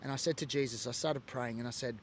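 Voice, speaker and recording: Man, Australian accent, medium pitch, earnest, emotional, rambling testimony, faint background noise. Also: fairly even intonation, quick pace. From Sydney, Australia. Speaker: former biker sharing his story. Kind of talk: personal testimony monologue.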